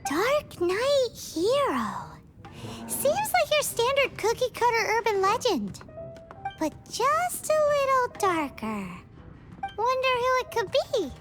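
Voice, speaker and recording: A woman's voice: extremely high pitch, big pitch swings, slow, full character voice. A woman voicing a tiny, childlike, cheeky fairy companion in a video game, perky and curious. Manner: perky, exclaiming, teasing. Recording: music playing behind the voice.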